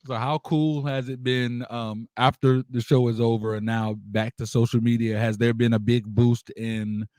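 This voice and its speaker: A man's voice: mid-range voice, little pitch movement, moderate pace, hardly any pauses, a little mellow, no echo. An American man hosting an interview in a casual, slangy, effusive style. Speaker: interviewer, radio show host.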